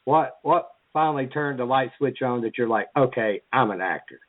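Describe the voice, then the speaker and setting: A man hosting a casual interview, eager and lively as he asks his guest questions. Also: mid-range voice, normal speed, no room sound. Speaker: interview host. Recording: tinny phone line.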